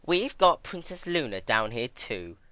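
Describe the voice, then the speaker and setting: A man's voice: mid-range voice, big pitch swings, unhurried, sharp tone, dry recording, pitched above the performer's own voice. A man voicing a young female pony tyrant, teasing and gloating in a mockingly cheerful way in a dramatic story reading. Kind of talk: dramatic fan fiction reading.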